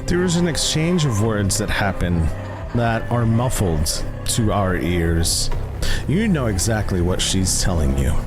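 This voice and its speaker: A man runs a tabletop roleplay as game master, narrating scenes with deliberate suspense. His pace is very slow and his pitch moves expressively around a middle register, with music clearly playing behind him. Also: very animated.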